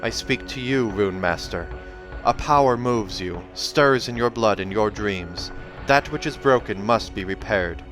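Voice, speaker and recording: A man's voice: fairly low pitch, unhurried, hardly any pauses, a bit bright, soft background music. A man voicing a savage young female seer from a fantasy audiobook, mystical and haunted, speaking in dramatic, incantatory fashion. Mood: ominous, trance-like. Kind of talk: audiobook character acting.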